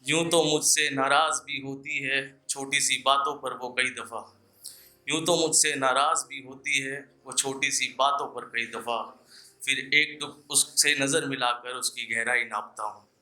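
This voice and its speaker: Bright, forceful young man reciting poetry. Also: mid-range voice, steady tone, a little slow. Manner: declaims couplets, repeating lines for emphasis. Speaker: poet performing at an open mic. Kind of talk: live Hindi poetry recital with casual asides to the audience.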